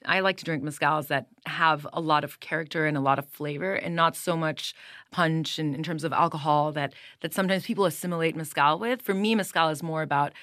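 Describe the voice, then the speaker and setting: Low-voiced woman explaining her mezcal. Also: fairly even intonation, fairly bright tone, no echo, studio quality. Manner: measured, explanatory, with small repeated restarts. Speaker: mezcal brand owner. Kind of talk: casual interview answers.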